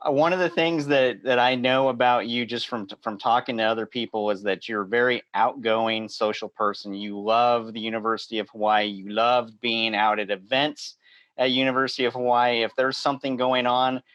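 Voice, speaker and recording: A man's voice: mid-range voice, fairly even intonation, moderate pace, a bit bright, dry recording, highs cut off. A man hosting an interview, asking questions in an upbeat, enthusiastic way. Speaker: host. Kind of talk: conference interview show.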